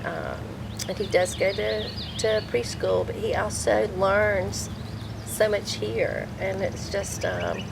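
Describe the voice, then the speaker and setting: An older woman, a vegetable farmer and grandmother, speaking softly and reflectively in a documentary interview. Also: fairly low pitch, creaky, noisy background. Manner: soft-spoken, reflective, with frequent fillers. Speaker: vegetable farmer, former hospice chaplain.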